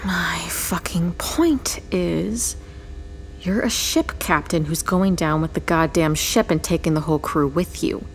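A woman's voice: fairly low pitch, a little slow, creaky, slight background noise. A woman playing a blunt, scornful homicide detective in an audio drama, stern, sarcastic and foul-mouthed. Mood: angry, scornful.

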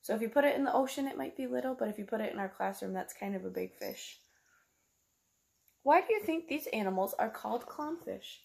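A woman's voice: a little high, lively intonation, brisk, creaky. A woman, reading a picture book aloud like a teacher, explaining simply to young children and asking them questions. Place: small room at home, indoors.

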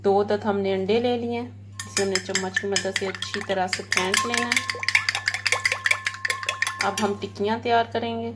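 A woman with a Pakistani Urdu accent, host of a home cooking channel, calmly talking viewers through a recipe step by step, filmed on a phone in her home kitchen. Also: mid-range voice, normal speed, long pauses, very noisy. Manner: calm, repetitive, pointing things out to viewers. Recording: kitchen clatter of dishes and pans.

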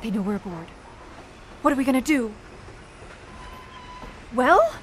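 A woman's voice: on the high side, very animated, a little slow, a little hiss or hum. An actress playing a nervy, sarcastic young woman on the run, blunt and slangy, in an audio drama. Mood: panicked.

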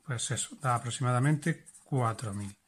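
A man talks through an electronics demonstration and its calculations. His pitch sits in the middle of the male range. He explains patiently, step by step, and keeps checking that his listeners are following. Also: moderate pace, slight background noise. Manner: explanatory, checks in with frequent "vale?". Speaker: electronics teacher. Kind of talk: hands-on lesson demonstrating and calculating.

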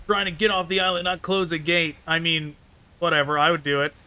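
Casting: male gaming host, higher-pitched and crisp, wry, off-the-cuff commentary. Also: level tone, fairly quick, pushed and bright. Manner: wry, chatty, thinking aloud. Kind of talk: casual gameplay commentary.

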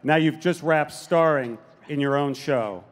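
A man's voice: on the high side, measured pace. A middle-aged man introducing guests as a presenter on a big convention stage, speaking into a handheld microphone. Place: large convention hall stage. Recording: live stage PA with music.

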